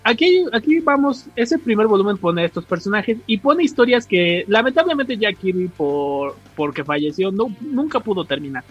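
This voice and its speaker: A man's voice: high voice, very animated, slightly rough, lo-fi sound, soft background music. A man with a Mexican accent explaining comics lore in a casual, slangy podcast chat, often restarting and correcting himself. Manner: informal, slangy, halting with self-corrections. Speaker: podcast co-host.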